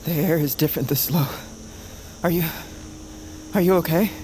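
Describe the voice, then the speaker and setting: Haunted, high-pitched male fantasy assassin, acted by a man. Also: moderate pace, darkish tone, a little hiss or hum, natural voice. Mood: haunted, halting. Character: fugitive bird-folk assassin, haunted, guilt-ridden, desperate young male. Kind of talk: fantasy audio drama acting.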